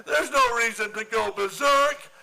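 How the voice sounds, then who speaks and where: A man's voice: very high-pitched, unhurried, creaky, sharp tone, exaggerated voice. A man playing a pompous, easily flustered middle-aged father in an old-time radio comedy. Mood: hysterical pleading. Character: flustered, blustering father and boss figure, Mr. Conklin.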